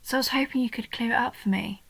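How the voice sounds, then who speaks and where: A woman voicing a young female goblin in a roleplay audio, a nerdy, sweet and curious bookworm who talks earnestly and eagerly, sometimes softly breathy. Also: a little high, quick pace, no echo, exaggerated voice, low-quality recording. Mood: fascinated, earnest. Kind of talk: roleplay audio, intimate one-sided scripted dialogue.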